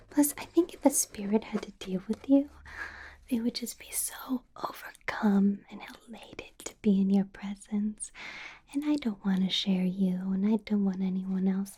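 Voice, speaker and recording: A woman playing a doting, possessive female vampire boss in a whispered ASMR roleplay, breathy, coaxing and intimate. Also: mid-range voice, expressive, mellow. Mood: possessive, reassuring. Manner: slow, coaxing, intimate whisper with murmured hums.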